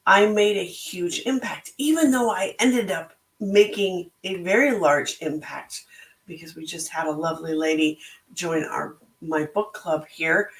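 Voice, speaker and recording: A middle-aged woman with a slightly hoarse voice, hosting a reflective solo talk in a slow, thoughtful, motivational way. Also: mid-range voice, roomy sound, poor audio. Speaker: podcast host and book club organizer.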